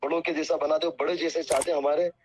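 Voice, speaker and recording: A man's voice: on the high side, level tone, quick pace, heavy background noise. A deferential, apologetic man speaking earnestly and piously as a cleric in a phone conversation, heard over a muffled call recording. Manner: pious, peppered with religious phrases. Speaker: Islamic cleric.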